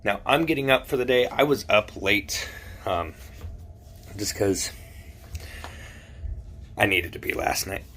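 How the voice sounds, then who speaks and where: A man with a raspy voice chatting casually and informally to his viewers as a vlogger. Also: lowish voice, lively intonation, slow, long pauses, fairly bright tone. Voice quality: raspy morning voice. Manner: addresses viewers directly, informal. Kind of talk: casual vlog chat to camera. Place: aboard a cruise ship. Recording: clear background noise, ship ambience.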